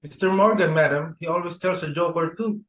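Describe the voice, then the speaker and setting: A man's voice: fairly high pitch, brisk, fairly bright tone, lightly acted, rough recording. A young man reading the part of a deferential butler, polite and formal. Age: young adult. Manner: polite, formal, addresses madam. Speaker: reader for Dunham. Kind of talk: student dramatic reading.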